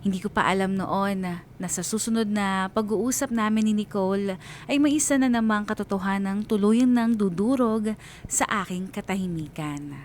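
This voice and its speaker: A man plays a wistful Filipino man telling his own story in a radio drama. The narration is reflective and scripted, with a slight rumbling noise behind it. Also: moderate pace, natural voice. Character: Liam as reflective, wistful male letter-sender narrator. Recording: low rumbling background noise.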